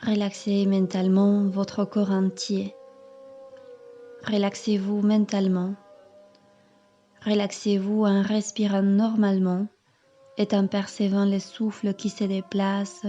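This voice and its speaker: A woman yoga teacher calmly leading a guided meditation in measured, instructing phrases. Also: mid-range voice, highs cut off, soft background music. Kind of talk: slow guided meditation narration.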